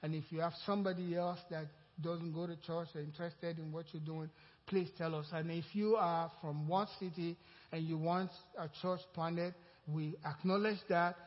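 Nigerian-accented pastor in an echoing hall. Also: male voice, a little high, steady tone, moderate pace. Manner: rambling, conversational, asks the congregation questions. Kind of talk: informal sermon announcement. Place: church sanctuary. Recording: muffled church hall sound with long reverb.

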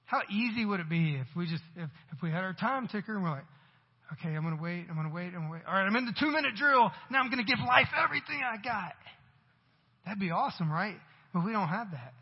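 A man's voice: sits high, animated, fast talker, slightly rough. A man in his forties preaching a sermon as pastor, talking conversationally and building to emphatic bursts, recorded dull in a church sanctuary. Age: 40s. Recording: dull sound with long hall reverb.

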